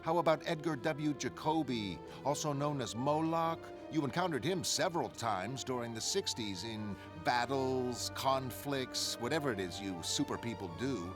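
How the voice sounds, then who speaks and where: A man's voice: mid-range voice, animated, moderate pace, hardly any pauses, close to an everyday voice. A man voicing a pushy, relentless reporter in a motion comic, needling and insistent. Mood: probing. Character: pushy, accusing male investigative reporter, relentless. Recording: background music under the voice.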